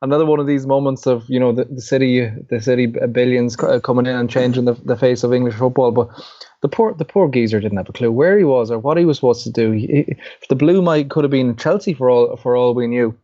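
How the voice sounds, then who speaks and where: A man's voice: medium pitch, fast, dark tone. A man chatting casually on a podcast panel, rambling with many restarts. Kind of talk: casual chat. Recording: dull lo-fi podcast audio.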